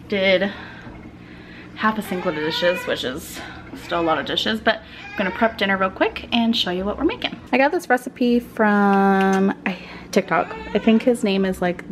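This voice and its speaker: A woman vlogging casually while sick, her voice raspy and hoarse, tired and short of breath, with small laughs between sentences. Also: mid-range voice, slight background noise. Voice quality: raspy, weak voice. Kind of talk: casual vlog chat.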